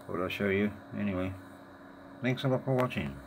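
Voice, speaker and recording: A man giving a casual, halting hands-on demonstration, muttering to himself in an informal way. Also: on the low side. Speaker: tech video maker. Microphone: handheld phone or camera held over the device. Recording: noisy amateur handheld camera recording.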